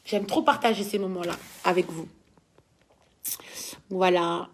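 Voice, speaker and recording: A woman's voice: on the low side, fairly even intonation, measured pace, a little hiss or hum. A young woman from Belgium with a Belgian French accent, chatting casually as she reads out and answers viewers' questions. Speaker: dancer answering fan questions.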